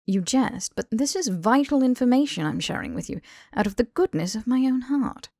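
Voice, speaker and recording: A woman's voice: fairly high pitch, lively intonation, moderate pace, mellow, no room sound, studio quality, voice pushed up. A woman voicing a smug, posh young man who lectures his lover in long, pompous sentences for an audiobook. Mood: smug, lecturing. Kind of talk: audiobook character dialogue.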